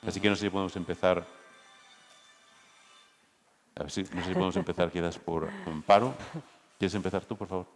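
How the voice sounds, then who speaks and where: Male moderator, Spain accent. Very low, fairly even voice, measured delivery, reverberant hall. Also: faint music behind. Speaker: round-table moderator. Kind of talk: semi-formal spoken introduction to a debate.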